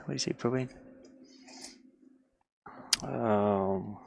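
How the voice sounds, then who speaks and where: A tired man thinking aloud casually as he works on a game, muttering to himself and grumbling at the software into a headset mic. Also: lowish voice, slow pace, gravelly, no room sound. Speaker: indie game developer working on camera. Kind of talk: casual thinking-aloud screen-work stream.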